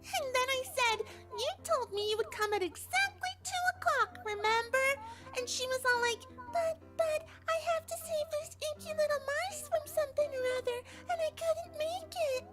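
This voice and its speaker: Casting: extremely high-pitched bubbly cartoon pony girl, gushing, sweetly deranged, music underneath. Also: moderate pace, a bit bright. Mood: chatty, self-righteous. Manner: gushing, girlish, exaggerated. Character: bubbly, manic female cartoon pony, cheerfully murderous. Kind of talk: fan fiction audio drama.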